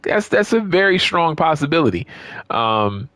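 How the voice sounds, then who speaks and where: A man's voice: fairly high pitch, big pitch swings, normal speed, fairly bright tone, no echo. Man giving casual, emphatic game commentary, dry sound. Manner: emphatic, repeats words for stress. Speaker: gaming video creator.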